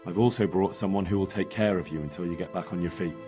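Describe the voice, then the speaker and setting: Deep, calm healer played by a man. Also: fast, faint music behind, close to an everyday voice. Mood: calm, instructing. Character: male healer's helper, calm, kindly and measured. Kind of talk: dramatic video game dialogue.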